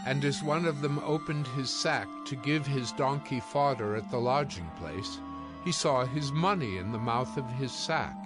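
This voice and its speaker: Solemn male narrator over clear music. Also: medium pitch, lively intonation, normal speed. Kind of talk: scripted scripture narration. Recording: music behind the voice.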